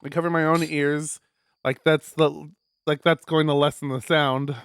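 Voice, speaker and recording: A man streaming a visual novel, reading it aloud as expressive narration with casual asides. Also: on the high side, normal speed, smooth.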